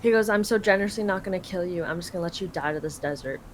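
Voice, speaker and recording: A woman's voice: on the low side, very fast. A young adult woman from Canada casually translating a game live, reading lines aloud and paraphrasing informally. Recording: clearly noisy home recording.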